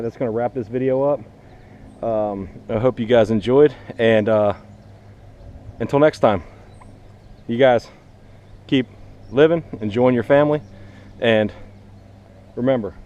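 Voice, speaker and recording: Guy doing a casual homestead vlog, medium pitch, explains stuff step by step to the viewers like a teacher. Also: warm tone, smooth, highs cut off. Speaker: vlog host, teacher and gardener. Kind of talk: casual instructional vlog talk.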